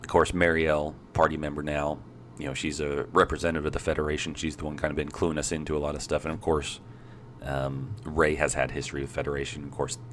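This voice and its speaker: Very deep, congested man, flat delivery. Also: quick pace, a little hiss or hum. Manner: laid-back, recapping game plot. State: congested, coming down with a cold. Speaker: podcast co-host. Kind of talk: casual chat.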